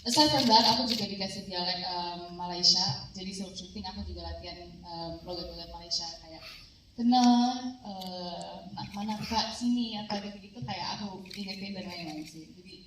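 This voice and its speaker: A woman's voice: on the low side, moderate pace. A woman actress answering press questions, switching often between Indonesian and English. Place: event hall. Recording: echoey hall recording from a distance, noisy.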